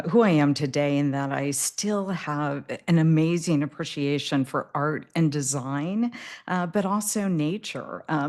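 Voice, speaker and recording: A middle-aged woman from the American Midwest, answering interview questions in a thoughtful way, with many fillers and repeated words. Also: low voice. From Midwest US, Indiana. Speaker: podcast guest.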